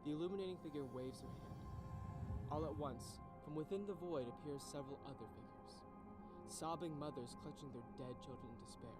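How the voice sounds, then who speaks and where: Male narrator, acted, fantasy audio drama: grave, deliberate, slightly high voice over prominent music. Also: natural voice.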